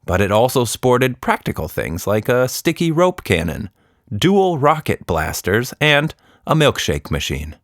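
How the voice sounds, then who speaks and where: A man narrating a scripted children's story in an expressive storyteller's manner. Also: mid-range voice, measured pace, dry recording, studio quality. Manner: expressive, animated reading.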